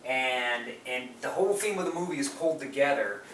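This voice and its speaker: A man's voice: mid-range voice, expressive, a little fast, a bit bright. A man co-hosting a casual film discussion, talking in an effusive, emphatic way. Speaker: podcast co-host. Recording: roomy, far from mic, faint noise.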